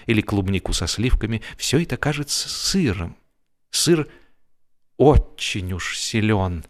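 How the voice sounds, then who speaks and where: A man narrating a humorous literary story in an expressive, mock-serious theatrical manner, with deliberate pauses. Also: on the low side. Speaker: audiobook narrator, actor.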